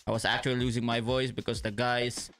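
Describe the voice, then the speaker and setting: Male announcer, mid pitch, casual and hesitant. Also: level tone, quick pace, a bit bright, faint music behind. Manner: hesitant, many uh and repeats. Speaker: league announcer. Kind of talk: casual sports talk.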